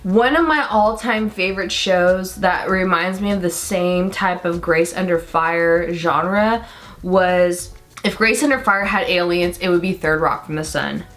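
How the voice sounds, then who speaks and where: A young woman with a deep voice for a woman, chatting in a rambling, enthusiastic way with lots of fillers while doing her makeup on camera. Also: a little slow, a bit bright. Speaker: beauty vlogger. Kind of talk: chatty get-ready-with-me makeup talk.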